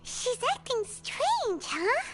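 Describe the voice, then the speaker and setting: A woman voicing a small, chatty, excitable fairy companion in a video game, with a squeaky childlike voice. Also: takes it slowly, heavily acted. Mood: puzzled. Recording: loud game music behind. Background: game music.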